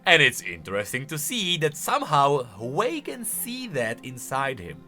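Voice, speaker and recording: Animated, bright-voiced man doing commentary. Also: medium pitch, unhurried, soft background music. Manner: emphatic, conversational. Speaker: video host. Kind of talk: casual explanatory game lore commentary.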